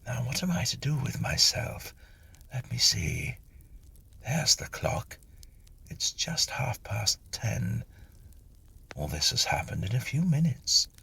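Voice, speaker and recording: A man acts out a genteel gentleman ghost from a storybook, newly dead and befuddled. He is chatty and musing, his breathy voice swooping up and down with a bright edge. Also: mid-range voice, voice pushed up, exaggerated voice.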